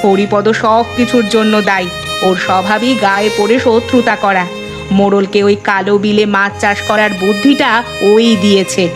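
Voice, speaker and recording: A woman's voice: medium pitch, fairly even intonation, normal speed, natural voice. A woman playing a sorrowful but resolute elderly village mother in a melodramatic cartoon, plaintive and exhorting. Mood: bitter, sad. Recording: music behind the voice. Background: background music.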